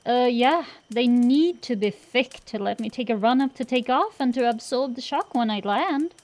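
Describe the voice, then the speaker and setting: A woman's voice: fairly high pitch, normal speed, a little mellow, natural voice. A woman voicing a brash, teasing tsundere dragon girl sorceress who gets flustered easily, in an intimate ASMR roleplay.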